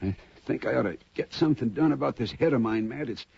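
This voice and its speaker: A beaten, dazed townsman in an old radio western, halting and shaken. His voice is gravelly and very raspy, and it is recorded dry and lo-fi, like an early broadcast. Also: mid-range voice, lively intonation, a little mellow, dry recording, heavily acted. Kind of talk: 1950s radio drama acting.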